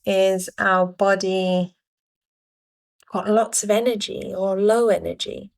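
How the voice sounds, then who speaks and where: Slow-paced woman guiding a meditation. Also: medium pitch, little pitch movement, vocal fry. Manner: unhurried, pausing between short phrases. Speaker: meditation guide. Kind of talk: guided meditation, gentle spoken instructions.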